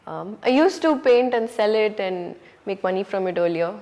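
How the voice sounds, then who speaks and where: A woman's voice: medium pitch, expressive, moderate pace, darkish tone. A woman from Hyderabad with an Indian English accent, an actress answering interview questions candidly and reflectively, often saying "you know" and "um". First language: Urdu. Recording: hall reverb.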